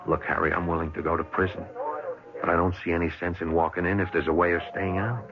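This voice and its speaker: An American actor playing a bitter, intense war veteran bent on revenge in a seventies radio drama. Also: male voice, deep voice, little pitch movement, quick pace, music in the background. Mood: coaxing, determined. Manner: intense, goading. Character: bitter, vengeful male war veteran plotting murder. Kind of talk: 1970s radio drama acting. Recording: dull old radio broadcast.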